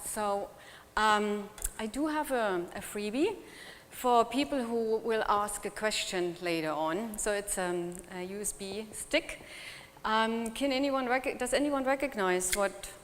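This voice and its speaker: A woman's voice: medium pitch, a little hiss or hum. A middle-aged woman from Germany with a German accent, giving a chatty conference talk in which she jokes and asks the audience questions. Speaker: conference speaker. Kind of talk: conference presentation. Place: conference hall. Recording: long hall reverb.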